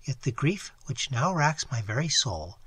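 A man's voice: sing-song, unhurried, fairly bright tone, husky, no echo, heavily acted. Man reading as a distressed, pleading young lady. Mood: earnest. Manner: low murmuring, beseeching. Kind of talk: audiobook character reading.

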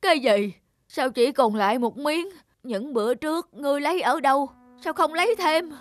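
A woman voicing a bossy, impatient, grumbling stout older man in an animated folk tale. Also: high-pitched, moderate pace, faint music behind, lightly acted. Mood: demanding. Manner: impatient, scolding, complaining. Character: grumpy, bossy, stout older male master.

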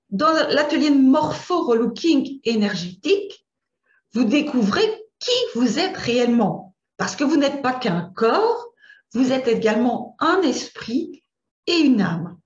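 Slow, lively Belgian woman coach. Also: high-pitched. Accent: Belgian French. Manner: hesitant, repeats words while searching. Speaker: image coach. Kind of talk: slide presentation lesson. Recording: roomy, dull lo-fi sound.

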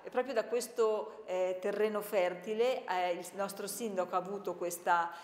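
A woman's voice: mid-range voice, fairly even intonation, normal speed. A middle-aged woman gives an emphatic interview statement, building it up with rhetorical repetition. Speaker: local interviewee. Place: marble-walled indoor monument room. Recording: echoey stone interior, long reverb.

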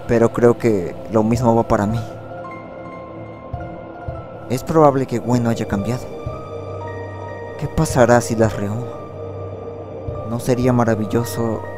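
A man's voice: mid-range voice, natural voice. A man voicing a brooding, awkward teenage boy in a fan dub, speaking hesitantly and introspectively. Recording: music playing behind the voice.

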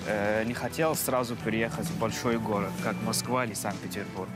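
A man's voice: fairly low pitch, steady tone, a little fast, loud music behind the voice. A man in his thirties from Syria, a dental resident, talking in a friendly, thoughtful way with non-native phrasing, outdoors on a campus street. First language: Arabic. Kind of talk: casual interview.

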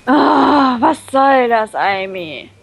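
High-voiced, slow-paced woman reading aloud. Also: very animated, vocal fry, husky. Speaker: co-reader in a group visual novel let's play. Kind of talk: casual read-aloud narration of a visual novel. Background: background game music.

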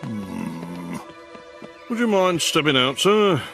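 An actor voicing a stern police sergeant in a cartoon, giving polite but officious orders. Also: male voice, medium pitch, wildly swooping pitch, slow pace, creaky, a bit bright, soft background music, exaggerated voice.

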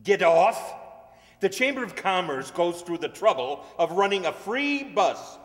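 A man's voice: high voice, big pitch swings, measured pace. An older man playing a pushy, talkative bus tour guide, declaiming his patter in old-time radio comedy style. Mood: indignant, insistent. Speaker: amateur radio-play actor. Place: large indoor stage hall, stand mic. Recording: reverberant hall, stage microphone.

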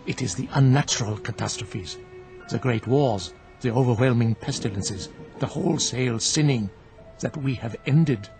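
An actor playing a grave old monk in a radio drama, speaking with solemn, deliberate, preacherly certainty. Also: male voice, medium pitch, natural voice. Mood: grave, paternal. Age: older. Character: grave, zealous old monk, unshakably certain of his faith. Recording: music bed behind the voice.

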